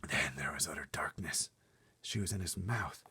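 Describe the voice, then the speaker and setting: A man reading a children's story aloud as narrator, expressive and dramatic, often dropping into hushed suspense. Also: rumbling low, normal speed, slightly rough.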